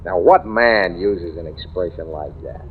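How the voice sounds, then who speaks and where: A man's voice: sits low, a little slow. A man playing a brusque, dismissive American cop and husband in old-time radio drama, with an offhand, wisecracking way of talking. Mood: wry, skeptical. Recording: tinny, noisy vintage radio recording.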